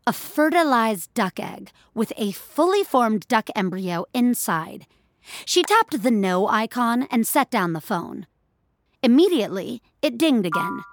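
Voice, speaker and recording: A woman's voice: on the high side, big pitch swings, measured pace, dry recording, clean studio sound. A woman narrating scripted fiction as a storyteller.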